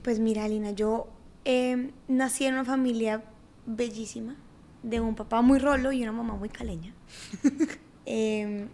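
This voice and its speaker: A young Colombian woman with a Colombian accent and a high, light voice talks as a podcast guest. She speaks in an emotive, heartfelt way, lingering on what moves her. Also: steady tone, unhurried, warm tone, a little hiss or hum. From Colombia, Bogotá and Cali. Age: young adult. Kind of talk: casual interview conversation.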